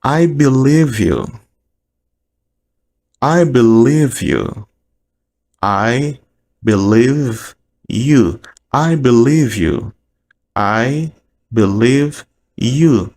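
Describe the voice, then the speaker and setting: A man with a Haitian Creole accent drills short phrases at a very slow, deliberate pace. His voice is gravelly, and he repeats each phrase word by word like a patient teacher. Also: medium pitch, animated, a little mellow. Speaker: language teacher.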